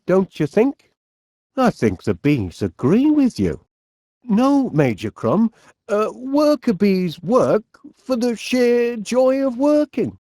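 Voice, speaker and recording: A man voicing a genial, polite, eccentric middle-aged inventor with a Northern English accent in an animated comedy game. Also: high voice, no echo, studio quality, exaggerated voice. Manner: polite, quaint British turns of phrase, drawn-out words. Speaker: video game voice actor.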